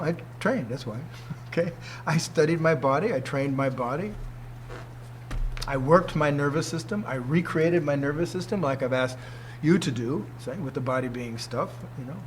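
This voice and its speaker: Older guy in his 60s teaching students, kinda high voice, keeps asking questions, faint hum behind. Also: pushed and bright. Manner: emphatic, rhetorical questions, laughs often. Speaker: martial arts teacher. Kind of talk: informal teaching talk to students.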